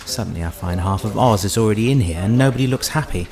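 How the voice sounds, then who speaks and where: An actor playing an exasperated, authoritative senior official in an audio drama, curt and sarcastic. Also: male voice, lowish voice, fast, a little mellow, lightly acted. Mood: suspicious.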